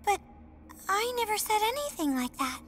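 A woman's voice: very high voice, big pitch swings, moderate pace. A woman voicing a sweet, eager little girl fairy in a children's cartoon, gushing and earnest, over music. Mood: pleading. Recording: loud music behind the voice.

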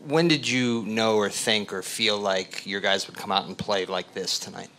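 A man working as a sports reporter, asking halting questions at a press conference. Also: on the low side, steady tone, bright, forceful tone. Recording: room noise behind voice.

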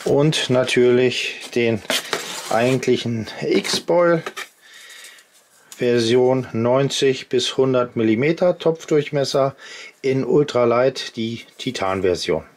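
A man's voice: medium pitch, slow pace. Middle-aged man explaining gear, faint hiss. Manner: chatty, addresses viewers directly, explains step by step. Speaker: outdoor gear channel host. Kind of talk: casual gear demonstration vlog. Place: indoor home workshop at a workbench.

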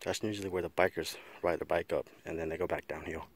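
A man's voice: deep voice, fairly even intonation, fast. A man in his thirties talking casually to an action camera while fishing by a river and hiking a hill trail. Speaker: fishing vlogger. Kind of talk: casual outdoor vlog. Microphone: action camera, chest-mounted and held at arm's length. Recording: loud wind and water noise outdoors.

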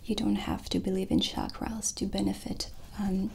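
A middle-aged woman hosting an ASMR roleplay, explaining softly and soothingly in a gentle whisper, close to the microphone. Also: mid-range voice, big pitch swings, moderate pace, gravelly. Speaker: ASMR host presenting a sound healing roleplay. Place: small dim indoor set.

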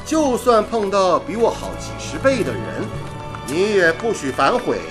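A man's voice: sits high, big pitch swings, normal speed. An actor playing a cocky, jovial radio engineer with a teasing manner, wooing his sweetheart in a radio drama. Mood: tender, teasing. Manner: teasing, bantering. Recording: radio drama with music behind.

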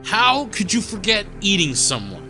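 A man's voice: sits high, wildly swooping pitch, normal speed, over music. A man playing a wary, tough-talking inmate in an audio drama, blunt and sardonic. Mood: alarmed, defiant. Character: wary, tough-talking foul-mouthed male new asylum inmate.

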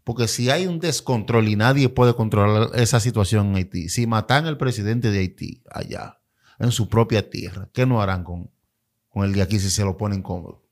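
A man's voice: fairly low pitch, normal speed. A man with a Dominican Spanish accent hosting a podcast, agreeing and prompting with questions. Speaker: podcast host. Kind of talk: podcast conversation. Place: small studio set. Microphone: studio mic on boom arm.

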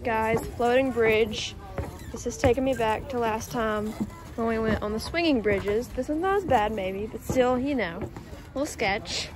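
A woman's voice: on the high side, a little slow. A teenage girl talking casually to her phone camera for a family vlog, full of "like" fillers. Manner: chatty, many "like" fillers. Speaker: vlogger. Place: museum and theater, indoors. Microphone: phone at arm's length.

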